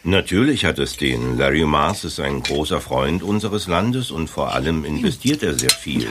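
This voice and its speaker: An actor playing a dignified government delegate in a German audio drama, speaking in a formal, diplomatic, official manner. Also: male voice, very deep voice, expressive, moderate pace, fairly bright tone. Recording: noisy dinner-scene ambience.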